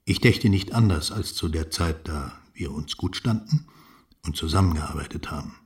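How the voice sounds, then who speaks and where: A guarded older general from a 1930s radio drama. His voice sits low, and he talks in clipped, weighty military phrases, giving little away. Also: moderate pace. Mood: firm, solemn. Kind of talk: radio play dialogue.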